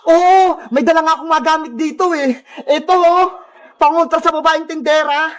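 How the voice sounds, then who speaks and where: A woman's voice: high-pitched, fairly even intonation, moderate pace, close to an everyday voice. A woman voicing a brave, eager young schoolchild in a children's cartoon story, bold and childlike. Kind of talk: children's animated story acting.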